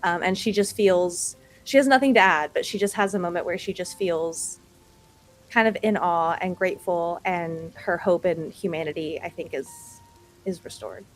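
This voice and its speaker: A woman player thoughtfully describing her character's feelings in a tabletop game, pausing to find words. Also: lowish voice, faint music behind. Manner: thoughtful, searching with fillers.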